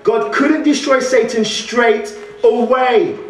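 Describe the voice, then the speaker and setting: Man, high voice, West Midlands accent, animated sermon, roomy church echo, distant mic. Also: takes it slowly. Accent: West Midlands English. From England. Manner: animated, calls out questions to the congregation; gestures broadly at the pulpit. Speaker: guest preacher. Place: large church hall, indoors. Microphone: stand mic at pulpit, far camera.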